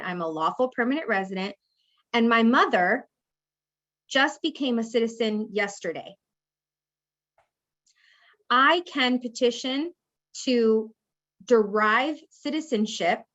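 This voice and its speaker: A woman's voice: on the high side, fairly bright tone, muffled recording. A woman law professor giving a conversational classroom lecture, acting out example speakers as she explains. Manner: conversational, acts out hypothetical speakers.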